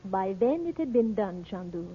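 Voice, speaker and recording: An actress playing an anxious, devoted Egyptian princess with formal, halting, earnest phrasing, in the style of 1940s radio melodrama. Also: female voice, mid-range voice, sing-song, slight background noise, lightly acted. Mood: grave, confiding. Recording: tinny, band-limited vintage radio recording.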